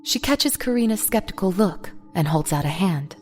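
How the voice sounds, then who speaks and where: A woman's voice: fairly low pitch, animated, moderate pace, darkish tone, soft background music. A woman narrator reading a scripted dramatic story in the third person.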